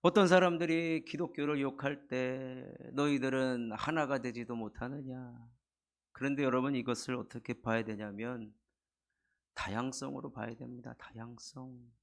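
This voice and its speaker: A middle-aged man preaching a Sunday sermon in a church, his voice hoarse and husky from a cold, building earnest emphasis. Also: mid-range voice, steady tone, moderate pace. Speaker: pastor. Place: church sanctuary.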